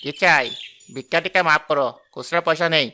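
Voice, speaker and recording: A man's voice: on the high side, fairly quick, bright, forceful tone, a little hiss or hum. An actor voicing a rude, greedy male fruit seller in a cartoon, talking in brash hawker's patter. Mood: scornful. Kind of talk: cartoon voice acting.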